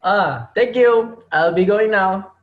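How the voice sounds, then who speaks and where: A young man playing a male art teacher who calmly takes his class hostage, in a student reader's theater play. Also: high-pitched, takes it slowly. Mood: polite, hurried. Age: young adult. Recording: dull lo-fi home recording.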